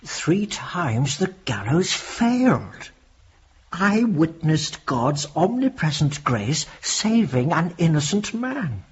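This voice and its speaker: An actor playing an earnest, courteous Victorian clergyman recounting his memories, with a husky, gravelly voice and formal, measured storytelling. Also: on the high side, big pitch swings, full character voice. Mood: solemn. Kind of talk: period radio drama acting. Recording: dull lo-fi audio.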